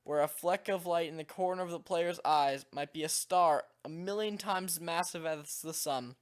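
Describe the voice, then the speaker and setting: A man with a slightly hoarse, worn-out voice, reading a game text aloud in a calm, measured way. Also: fairly high pitch. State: slightly hoarse, voice nearly gone. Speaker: video creator.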